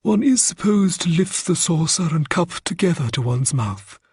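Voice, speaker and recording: Man voicing a haughty aristocrat, disdainful, prissy, higher voice, very breathy. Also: animated, moderate pace, a little mellow, dry recording, heavily acted.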